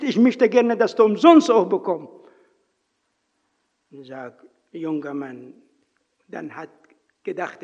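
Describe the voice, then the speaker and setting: A man with a non-native German accent preaching earnestly in a church, telling a personal story in halting phrasing and then praying. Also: high voice, gravelly. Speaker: lay preacher. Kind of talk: sermon with personal story and prayer. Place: church hall. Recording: reverberant hall, dull lo-fi sound.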